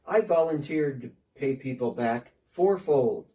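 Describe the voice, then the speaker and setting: Short, earnest tax collector recounting his change of heart , played by a man, lively up-and-down delivery, mellow tone, miked from a distance. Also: mid-range voice, a little slow, natural voice. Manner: earnest storytelling, talking as if on a phone call. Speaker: dramatic reader. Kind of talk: first-person dramatic monologue.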